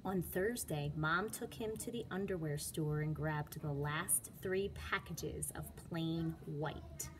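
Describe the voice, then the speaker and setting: Lady teacher reading a kids book, lowish voice, slow and dramatic, bit of background noise. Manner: dramatic, emphatic storytelling for children. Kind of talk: expressive children's storybook read-aloud.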